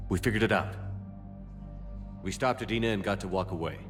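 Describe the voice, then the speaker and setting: Game commander male actor: deep register, composed, resolute military leader. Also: brisk, faint music behind, lightly acted. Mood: calm, reassuring. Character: steadfast military commander, calm and resolute man. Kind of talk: dramatic sci-fi video game dialogue.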